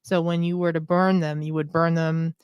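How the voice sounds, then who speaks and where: A woman's voice: low-pitched, little pitch movement, moderate pace, darkish tone, smooth, no room sound, lo-fi sound. A woman from the Pennsylvania Coal Region co-hosting a podcast, explaining local folklore at length in casual chat. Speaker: podcast co-host.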